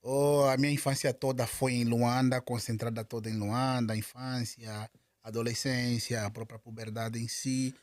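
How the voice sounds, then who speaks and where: A man's voice: mid-range voice, level tone, moderate pace, dry recording. A man with an Angolan Portuguese accent, talking as an interview guest and often stumbling and repeating words. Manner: hesitant, with many repeated words and restarts. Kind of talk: casual interview conversation.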